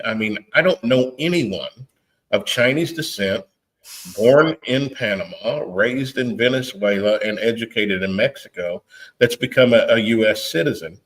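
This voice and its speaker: A man's voice: mid-range voice, low-quality recording. A man hosting a podcast interview, friendly and chatty, encouraging his guest. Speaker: podcast host.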